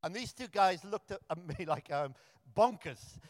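A man's voice: sits high, lively intonation, unhurried, no room sound, studio quality, in a large hall. A man in his thirties from Africa, a pioneer minister giving a sermon, chatty and self-deprecating with frequent restarts. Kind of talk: sermon with storytelling. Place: church.

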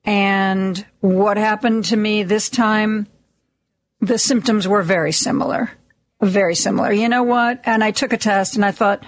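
Slow, slightly raspy woman radio host. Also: mid-range voice, fairly even intonation, no room sound. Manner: chatty, self-deprecating, many ums and restarts. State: a little hoarse, lingering cough. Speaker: radio talk show host. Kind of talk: casual on-air monologue. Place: radio studio. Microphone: studio microphone.

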